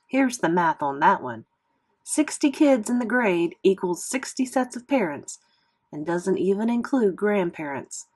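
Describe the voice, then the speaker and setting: A woman's voice: medium pitch, sing-song, unhurried, a little mellow. Woman reading a children's novel aloud, expressively. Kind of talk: expressive classroom read-aloud narration.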